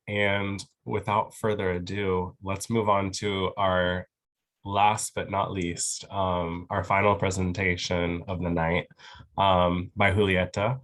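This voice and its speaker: A man's voice: sits low, a bit bright. A man moderating an online event, introducing presenters as an upbeat emcee. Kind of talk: hosting on a video call. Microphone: video call.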